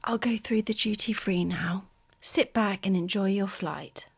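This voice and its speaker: A woman whispering softly in a breathy voice, playing an attentive air hostess in an ASMR roleplay. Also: medium pitch, a little hiss or hum. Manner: soft, slow, item-by-item listing.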